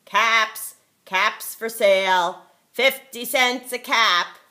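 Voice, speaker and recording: A grandmother doing a loud shouting cap peddler. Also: fairly high pitch, very slow, exaggerated voice. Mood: hawking. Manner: calls out loudly, scolds in a raised voice. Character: loud male cap peddler, hawking then angry.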